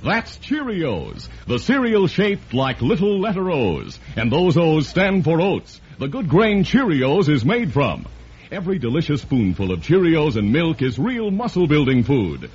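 A man's voice: on the high side, wildly swooping pitch, unhurried, a little mellow. A man announcing a scripted vintage radio commercial for a product. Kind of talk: scripted 1950s radio advertising pitch. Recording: dull old radio recording.

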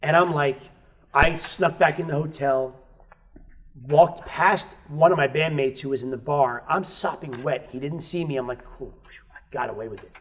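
Frank man telling a story, higher voice. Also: normal speed. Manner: frank, swears freely, acts out quoted voices. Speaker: guest musician. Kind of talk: candid storytelling in casual chat.

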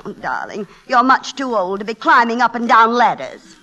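High-voiced, fond, soothing wife. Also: female voice, very animated, moderate pace, sharp tone, highs cut off, full character voice. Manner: affectionate, placating. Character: fond, practical, soothing middle-aged wife. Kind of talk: classic radio drama acting.

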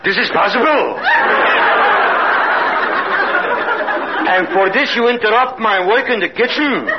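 High-pitched pompous Russian cook, old radio. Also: male voice, animated, brisk, a loud crowd. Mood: indignant, insolent. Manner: pompous, formal foreign phrasing, insolent. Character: grandiose, impudent, gloomy male foreign tavern cook. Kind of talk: 1950s radio comedy acting. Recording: dull old radio recording with noise.

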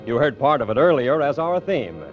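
Animated American old-time radio announcer man. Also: medium pitch, fairly quick. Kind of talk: 1950s radio announcing, scripted. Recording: dull lo-fi vintage radio broadcast. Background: orchestral music.

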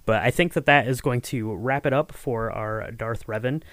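A man's voice: mid-range voice, moderate pace, fairly bright tone, no room sound. A man co-hosting a podcast in casual fan chat, with a rambling, hesitant way of talking. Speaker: podcast co-host.

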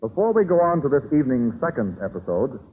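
A tinny vintage broadcast with music behind carries a male announcer. His fairly high voice moves in wide, oratorical swings through formal scripted narration. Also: sing-song, fast. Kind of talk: old-time radio scripted narration.

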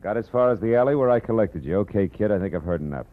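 A man voices a hard-boiled private eye with a very deep voice that swings widely as he talks, clipped and wry. The sound is a tinny old radio broadcast with music underneath. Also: expressive, very fast, close to an everyday voice. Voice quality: dry, clipped and wisecracking. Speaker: detective narrator. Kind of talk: 1940s radio acting.

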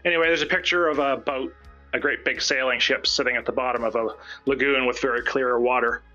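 A man's voice: mid-range voice, steady tone, a little fast, bright, forceful tone, faint music behind. A wry, playful man chatting casually as a guest writer and reading documents aloud on dull stream audio.

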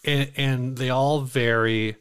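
A man's voice: mid-range voice, steady tone, slow, smooth. A man speaking as a podcast co-host in casual, rambling chat, often reworking his phrases as he goes.

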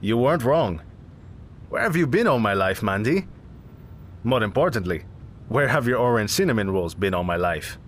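A man's voice: fairly low pitch, moderate pace, pauses often, slight background noise. A man playing a friendly, dramatic young cafe owner, a loyal best friend, in an audio drama. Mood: teasing. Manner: expressive, teasing, emphatic. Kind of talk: naturalistic audio drama acting.

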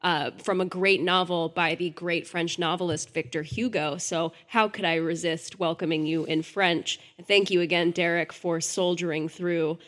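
A chatty woman with a fairly low voice co-hosts a podcast. She talks in an enthusiastic, conversational way. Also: fairly even intonation, moderate pace, few pauses, a bit bright. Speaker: podcast co-host. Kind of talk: casual podcast chat.

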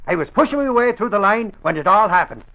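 An actor playing an excitable, self-important Irish reporter in a 1940s radio drama, blustering in folksy, ungrammatical speech. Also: male voice, high-pitched, fast talker. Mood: blustering, aggrieved. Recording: dull old radio broadcast recording.

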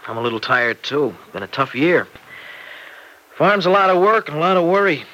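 An actor playing an earnest, anxious young farmer husband in an old-time radio drama, with a halting, tender way of talking. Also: male voice, on the high side, expressive, pushed and bright, muffled recording. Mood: evasive, weary. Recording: noisy vintage radio recording.

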